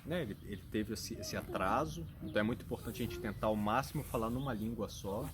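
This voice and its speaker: A man's voice: fairly low pitch, fast talker, background noise. A man with a Brazilian Portuguese accent, a father talking earnestly and thoughtfully, searching for his words as he explains. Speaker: father and vlogger. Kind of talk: casual explanatory talk.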